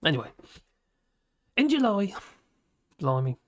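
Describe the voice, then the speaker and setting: A man's voice: sits high, sing-song, measured pace, fairly bright tone. A man, hosting a casual livestream, rambling and chuckling at his own asides from his home office. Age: 50s. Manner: chatty, chuckling, self-mocking asides. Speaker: livestream host reading chat.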